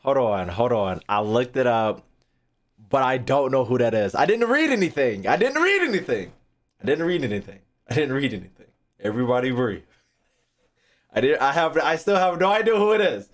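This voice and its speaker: A young American man with a mid-pitched voice talks casually as a gaming streamer. He rambles, doubles back on phrases and drops slang and swearing freely. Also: sing-song, moderate pace. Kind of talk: casual, profane game reaction chat.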